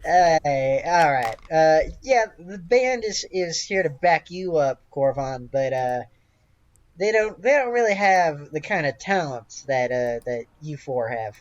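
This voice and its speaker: A man narrating an improvised tabletop game as game master, with a hesitant, halting delivery. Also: a little high, lively intonation, muffled recording.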